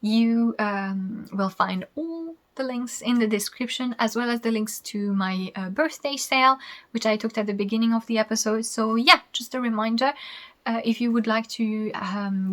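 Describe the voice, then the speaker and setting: A woman's voice: fairly high pitch, normal speed. A woman in her thirties with a French accent, a native French speaker, hosting a knitting podcast in a chatty, rambling way and laughing easily. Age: 30s. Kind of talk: casual chat to camera.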